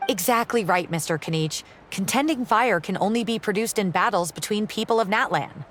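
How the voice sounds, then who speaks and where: A woman's voice: mid-range voice, fairly quick, slight background noise, lightly acted. An actress playing a polite, by-the-book female clerk in a video game, speaking formally and explaining rules. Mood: formal, firm. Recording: loud game music behind.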